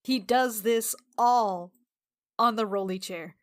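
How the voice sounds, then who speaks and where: A woman with a somewhat high voice takes part in a tabletop game. She speaks in casual roleplay banter, describing and reacting as a player. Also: slow.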